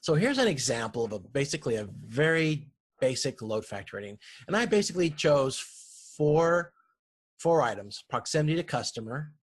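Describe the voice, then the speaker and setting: A man lecturing informally over slides, explaining in a halting way and often correcting himself. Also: mid-range voice, lively intonation, slightly rough. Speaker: university lecturer.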